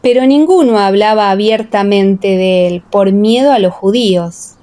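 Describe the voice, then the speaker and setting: A woman reading a dramatized Bible audiobook as narrator, in a solemn, measured storytelling manner. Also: mid-range voice, warm tone. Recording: echoing, long reverb.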